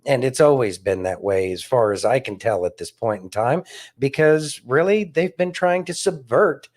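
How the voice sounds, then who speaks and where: A man's voice: mid-range voice, animated, normal speed, darkish tone. A man co-hosting a casual political discussion, speaking emphatically and repeating phrases for stress. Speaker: podcast co-host.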